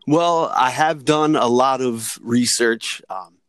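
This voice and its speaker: A man on a remote call chatting as a guest, telling stories in a measured, hesitant way with many fillers. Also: mid-range voice, fairly bright tone, clear, resonant tone, dry recording. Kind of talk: casual podcast chat.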